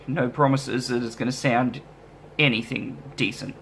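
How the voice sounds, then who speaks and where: A man's voice: mid-range voice, steady tone, moderate pace, vocal fry, fairly bright tone, a little hiss or hum. A man vlogging casually as a musician, easygoing and self-deprecating as he rambles through viewer questions. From Australia. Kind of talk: casual vlog chat answering viewer questions.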